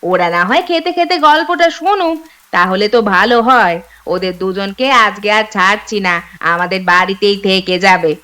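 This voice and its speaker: A woman's voice: medium pitch, normal speed, bright, forceful tone, clear, resonant tone, natural voice. A woman voicing a hospitable, chatty village auntie in a cartoon. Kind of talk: Bengali cartoon story voice acting.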